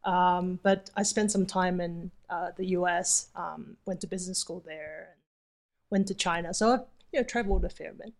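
A woman's voice: lowish voice, steady tone, moderate pace. Woman with a slight Kiwi accent. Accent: slight New Zealand. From Taiwan. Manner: thoughtful, uses fillers. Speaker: interview guest, Airbnb host. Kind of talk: casual interview answers.

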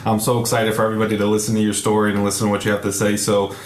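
A man's voice: fairly low pitch, monotone, racing. A man hosting a podcast, chatting casually with effusive praise. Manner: effusive, complimentary. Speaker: podcast host. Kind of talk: casual show introduction and chat.

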